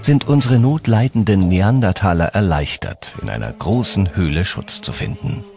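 Male documentary narrator, lower register, measured dramatic storytelling, clear music bed. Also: sing-song. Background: background music.

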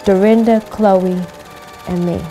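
A woman's voice: mid-range voice, fairly even intonation, takes it slowly, warm tone, faint music behind, close to an everyday voice. An actress in her twenties playing an eager 1940s volunteer, speaking plainly and politely in a film monologue. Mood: excited, proud. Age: 20s. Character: eager young 1940s woman volunteer in uniform.

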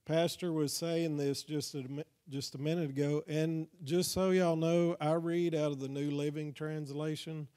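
Mellow-voiced Texan preacher, slightly high. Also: male voice, level tone, moderate pace, hardly any pauses. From Texas, United States. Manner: plain-spoken, folksy, seeks agreement from listeners. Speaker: evangelist, guest preacher. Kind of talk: evangelism training sermon from a pulpit. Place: church, speaking to a congregation.

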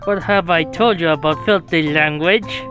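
A performer voicing a stern, wise old rat father with a measured, formal, lecturing manner. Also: mid-range voice, close to an everyday voice. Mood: stern, knowing. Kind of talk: cartoon comic dub acting. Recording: music behind the voice.

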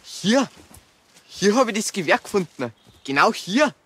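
Sullen country teenager. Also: male voice, high-pitched, sing-song, a little slow, a bit bright, full character voice. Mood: defensive. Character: sullen, defensive rural schoolboy suspected of shooting. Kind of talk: radio drama acting.

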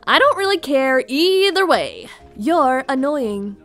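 Brash cartoon schoolgirl, high sharp voice, slow mocking drawl, animated. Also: soft background music, exaggerated voice. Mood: brash, irritated. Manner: mocking, blunt, sassy. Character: brash, teasing tough older high school girl. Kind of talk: animated roleplay voice acting.

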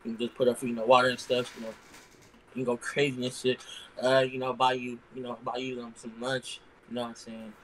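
A man's voice: mid-range voice, level tone, brisk, fairly bright tone. An eighteen-year-old man from Los Angeles on a video call, slangy and rambling with lots of fillers. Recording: noisy call line.

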